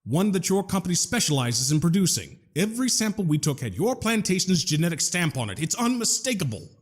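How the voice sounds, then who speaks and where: A man playing a sardonic, well-spoken gentleman scientist in an audio drama, with a wry, wordy, formal manner. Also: sits high, very animated, fairly quick, husky, full character voice. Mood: earnest, explanatory. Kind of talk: scripted audio drama acting.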